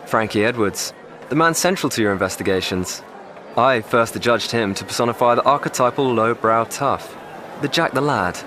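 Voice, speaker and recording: A man's voice: mid-range voice, expressive, normal speed, close to an everyday voice. Man playing wordy young English soldier, noisy surroundings. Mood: dry, composed. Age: young adult. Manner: formal, wordy, dryly ironic. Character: educated, articulate young English private in First World War. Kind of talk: audio drama acting.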